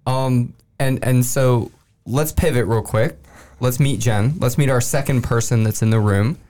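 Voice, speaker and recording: Man in his middle register, casual podcast host asking quick, friendly questions. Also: mid-range voice, a little mellow.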